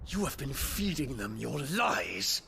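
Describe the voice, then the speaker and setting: An actor playing a proud, dutiful young prince in a fantasy video game, speaking in formal courtly language. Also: male voice, medium pitch, very animated, vocal fry, bright, forceful tone, slight background noise, full character voice. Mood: outraged. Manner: formal, measured, archaic courtly speech.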